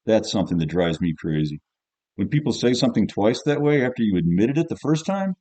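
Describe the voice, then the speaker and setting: A man's voice: lowish voice, sing-song, brisk, mellow, dry recording. A man reading as a jaded, cynical teenage schoolboy, narrating an audiobook in the first person in a colloquial, grumbling, offhand way.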